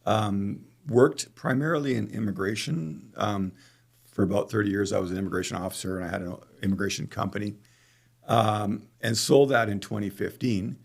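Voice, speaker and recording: A man's voice: lowish voice, moderate pace, vocal fry, husky. A middle-aged man with a Canadian accent, talking conversationally as a guest in an interview. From Calgary, Canada. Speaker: podcast guest, beekeeper.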